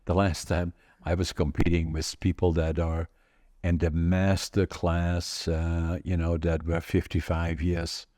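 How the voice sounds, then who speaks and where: A man in his eighties with a Dutch accent, telling stories from his life in a halting, rambling way, full of fillers and restarts. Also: low-pitched, flat delivery, a little mellow, no room sound, studio quality. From Northern Netherlands. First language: Dutch regional dialect. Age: 80s. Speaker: podcast host. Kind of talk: casual conversational storytelling.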